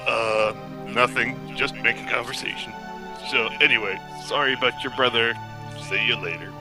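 A man's voice: medium pitch, normal speed, close to an everyday voice, rough recording. A man playing a blunt, tactless, oafish henchman in an amateur radio play, talking casually. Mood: tactless, offhand. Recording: music clearly behind the voice.

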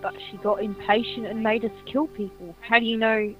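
A woman's voice: on the high side, steady tone, moderate pace, over music, lightly acted, rough recording. Woman acting uneasy mad doctor's assistant. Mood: wary, doubtful. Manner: wary, questioning. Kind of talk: amateur horror radio play acting.

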